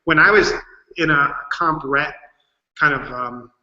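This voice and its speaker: Casting: man from long island, bright sharp voice, chatty and agreeable, echoey hall over a call line. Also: a little high, unhurried, highs cut off. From Long Island, USA. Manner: conversational, agreeable, many "right?" and "like". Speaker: keynote speaker. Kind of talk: casual video call conversation. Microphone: video call.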